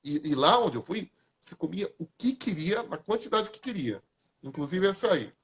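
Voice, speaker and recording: A man hosting a casual live talk, chatty and lively, asking questions and answering them himself. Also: high-pitched, normal speed, slightly rough. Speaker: host. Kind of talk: casual live talk giving diet tips.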